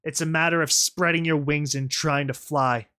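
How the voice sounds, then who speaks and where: A woman's voice: sits low, fairly even intonation, normal speed, fairly bright tone. A woman voicing a defiant, desperate female pony rebel leader in a story, earnest, resolute and commanding. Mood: quiet resolve.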